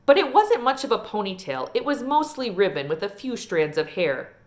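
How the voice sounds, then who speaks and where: A woman reading a children's novel aloud in an expressive storytelling style. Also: medium pitch, moderate pace. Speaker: read-aloud reader.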